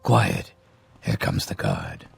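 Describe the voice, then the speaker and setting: An actor playing a hushed, watchful, friendly fellow prisoner with a deep, raspy voice in a fantasy video game. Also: male voice, wildly swooping pitch, takes it slowly, faint music behind, heavily acted.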